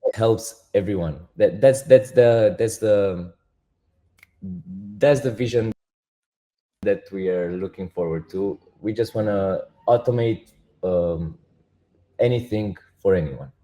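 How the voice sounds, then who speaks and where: A man with a Romanian accent, a project founder speaking as a guest and explaining step by step in halting, restarting sentences. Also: fairly low pitch, dark tone, rough recording. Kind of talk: casual interview talk.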